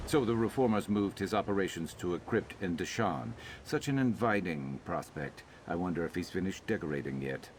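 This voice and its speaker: A man playing a gruff, loyal Argonian lizard-man in a video game, speaking in a measured way with dry wit. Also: sits low, expressive, background noise. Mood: dry, wry. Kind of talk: video game character dialogue.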